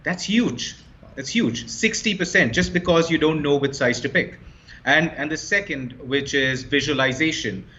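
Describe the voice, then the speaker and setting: A man's voice: fairly high pitch, normal speed, pushed and bright, a little hiss or hum. A man explaining his startup as an interview guest, fluent and confident, stressing key points. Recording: slightly dull lo-fi sound.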